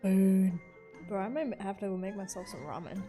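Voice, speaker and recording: A woman's voice: mid-range voice, moderate pace, warm tone, soft background music. A woman giving casual gameplay reaction commentary, with playful, slangy asides. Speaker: game streamer.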